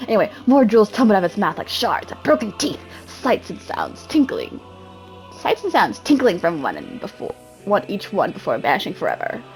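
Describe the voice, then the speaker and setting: A woman's voice: mid-range voice, wildly swooping pitch, brisk, faint music behind, low-quality recording. A woman giving a mock-dramatic amateur reading, theatrical and self-mocking, often breaking off into casual asides. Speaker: amateur reader.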